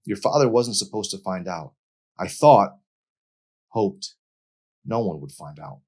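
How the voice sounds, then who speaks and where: Man playing a guilt-ridden teenage boy, earnest, defensive, deep pitch, audiobook dialogue. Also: animated, unhurried, a little mellow, breathy edge, heavily acted. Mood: pleading, defensive.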